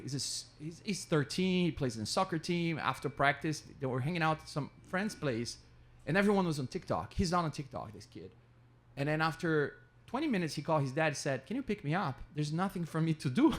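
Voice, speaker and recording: A middle-aged man from Brazil, an economics professor and founder, answering interview questions in an animated way, with rhetorical asides and frequent restarts. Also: on the high side.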